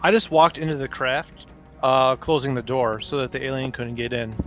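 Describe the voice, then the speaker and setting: A man's voice: medium pitch, little pitch movement, normal speed. A man chatting casually as a player in a tabletop roleplaying game. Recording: music under the voice. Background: music.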